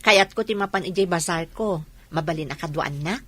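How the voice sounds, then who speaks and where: A woman playing a confident, affectionate young businesswoman in a radio drama, with an animated lilt. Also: fairly low pitch, a little fast, dry recording. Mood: teasing.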